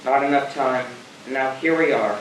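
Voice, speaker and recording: A man's voice: mid-range voice, moderate pace, a bit bright, slight background noise, natural voice. Young man acting grim spaceship captain, far-off echoey stage. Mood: grim, resigned. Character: shaken male spaceship captain, grim and wry. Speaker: actor in a school theater production. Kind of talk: amateur stage play acting. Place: theater stage, picked up from a distance.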